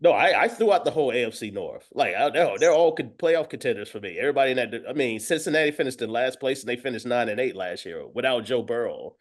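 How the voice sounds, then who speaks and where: A man's voice: medium pitch, lively intonation, quick pace, few pauses. A man giving casual football analysis as a guest, emphatic, often repeating and rephrasing as he goes. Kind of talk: casual sports talk with analysis.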